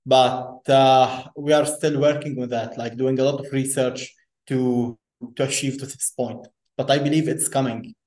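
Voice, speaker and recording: A man from Lebanon talks through ideas as an interview guest, repeating words and restarting sentences. His voice sits in a middle pitch. The recording has a roomy echo. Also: fairly even intonation, measured pace, a little mellow. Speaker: guest engineer. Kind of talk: conversational interview. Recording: echoey room.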